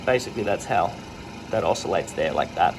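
A man's voice: deep voice, brisk, noisy background. A man in his thirties, a watchmaker, chatting casually through a tour of his small workshop with lots of "uh" and "um", recorded on a phone held close. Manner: relaxed, rambling with many fillers. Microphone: phone camera held close.

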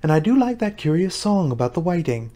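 Storybook girl, played by a man: curious, polite, a touch timid, lifted pitch. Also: sing-song, fairly quick, warm tone. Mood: polite, interested. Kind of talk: storybook character voice.

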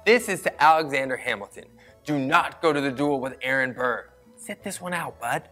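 A man's voice: mid-range voice, expressive, moderate pace, pushed and bright. Male kids' show host, American, 30s, silly and exaggerated, very varied intonation, music underneath. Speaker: children's read-aloud show host. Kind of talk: comedic storybook read-aloud and banter. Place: small bright indoor studio set.

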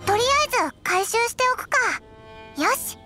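A woman voicing a tiny, excitable fairy companion in an anime game, childlike and squeaky, with blunt, boyish phrasing. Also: moderate pace. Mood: wary, curious. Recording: music under the dialogue.

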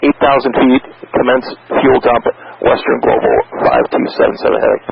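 A man speaking as an airline pilot over cockpit radio, with halting readbacks and reports. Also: medium pitch, big pitch swings, vocal fry. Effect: cockpit radio transmission. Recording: dull, noisy aviation radio audio.

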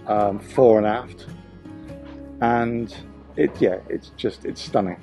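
A man in his forties, a sailing vlogger, chatting casually outdoors in a relaxed, rambling way. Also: fairly low pitch, slow pace, over music. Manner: relaxed, rambling, thinks aloud with restarts. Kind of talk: casual chat. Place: outdoors on a boat and a rocky shore.

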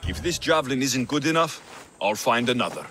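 A man's voice: mid-range voice, expressive, a little fast, pushed and bright, slight background noise. An actor playing a wry, self-assured male mercenary warrior of ancient Greece in a video game. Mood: confident. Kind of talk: video game character acting.

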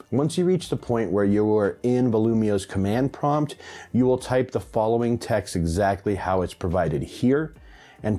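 A man around his late thirties or forties, presenting a scripted audio tech tutorial with clearly enunciated, step-by-step delivery. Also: lowish voice, mellow. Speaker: host of a hi-fi audio channel. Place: small home room, indoors.

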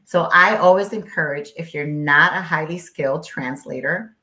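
Woman, low voice, first language Spanish, interpreter, emphatic, rhetorical questions, echoey video call. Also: normal speed, pushed and bright. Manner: emphatic, explanatory, many rhetorical "right?". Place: home office.

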